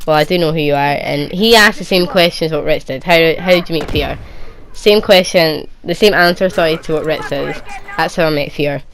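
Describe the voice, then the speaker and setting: A woman's voice: low-pitched, moderate pace. A young woman with a Scottish accent from Edinburgh, answering viewer questions in a chatty, rambling way. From Edinburgh, Scotland. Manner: chatty, rambling, lots of restarts and "like". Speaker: gaming YouTuber. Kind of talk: casual Q&A chat.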